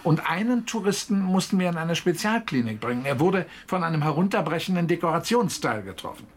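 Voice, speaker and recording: A woman's voice: lowish voice, expressive, a little fast, close to an everyday voice. Radio drama, female actor: reassuring, slightly apologetic medical staffer, natural delivery, faint noise behind.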